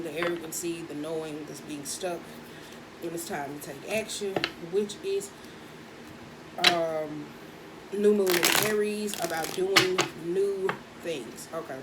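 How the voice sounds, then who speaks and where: A woman with a husky voice doing a casual, chatty tarot reading, speaking in with laughs and slangy asides. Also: fairly low pitch, heavy background noise. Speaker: tarot reader.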